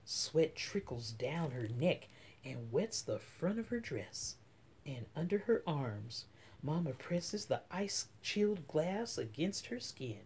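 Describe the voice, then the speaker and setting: A middle-aged woman reading a children's picture book aloud as story time host, with dramatic, drawn-out emphasis. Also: low voice, very animated, darkish tone, breathy edge. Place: small room at home.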